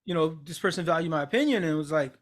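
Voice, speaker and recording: A man co-hosting a casual chat, talking bluntly with lots of swearing and animated retelling. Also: on the high side, fast talker. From United States. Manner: blunt, profane, animated storytelling. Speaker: podcast co-host.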